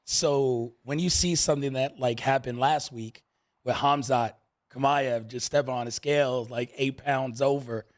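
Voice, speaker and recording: A man's voice: medium pitch, fairly even intonation, measured pace. A man from New York with an American accent, hosting a casual sports podcast with slangy, upbeat banter. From New York, USA. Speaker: podcast host. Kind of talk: casual sports talk and interview.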